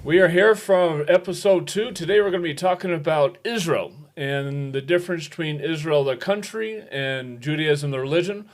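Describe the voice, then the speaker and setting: A man's voice: a little high, normal speed, hardly any pauses. A man hosting a podcast, introducing the show and putting questions to his guest in a conversational style. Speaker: podcast host.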